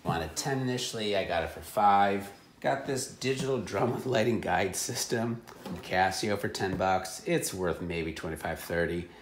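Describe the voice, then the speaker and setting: A man with a notably low voice talks casually, like a reseller going through a haul. His delivery is offhand and wry, with dry joking asides. There is a little background noise around the voice. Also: moderate pace, roomy sound. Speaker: reseller showing secondhand finds. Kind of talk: casual chat.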